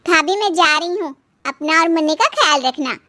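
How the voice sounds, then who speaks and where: The visiting sister-in-law of a cartoon family tale, setting everyone straight in a high, no-nonsense voice, acted by a woman. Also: animated, moderate pace, pushed and bright, no room sound, full character voice. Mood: fond. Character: brisk, forthright adult sister-in-law visiting home. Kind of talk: animated moral story voice acting.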